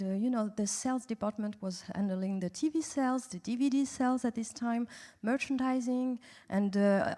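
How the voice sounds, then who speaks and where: A woman with a French accent talks through her story at a festival panel. Her voice is mellow and a little dark, and her speech is chatty, full of restarts and small self-corrections. It sounds like conversational talk, not scripted delivery. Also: medium pitch. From France. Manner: chatty with frequent restarts and laughs.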